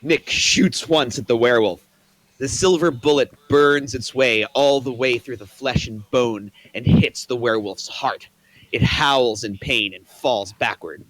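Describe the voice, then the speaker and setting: A man's voice: mid-range voice, unhurried, fairly bright tone, no room sound, poor audio. A man reading stage directions in a script table read, matter-of-fact with a few stumbles.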